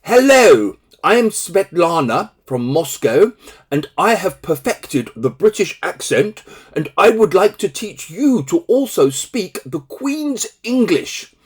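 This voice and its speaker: A woman's voice: fairly low pitch, very animated, slow, darkish tone, husky, voice pushed up, heavily acted. A man mimicking a woman teacher who puts on a forced, unnatural posh British accent. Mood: showy. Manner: forced, unnatural, trying too hard.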